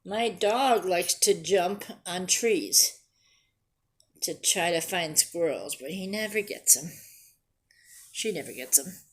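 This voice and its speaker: Slow-paced woman reading to young children. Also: fairly low pitch. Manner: pauses to ask simple questions and explain words. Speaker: children's storyteller and teacher. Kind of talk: read-aloud of a picture book for young children.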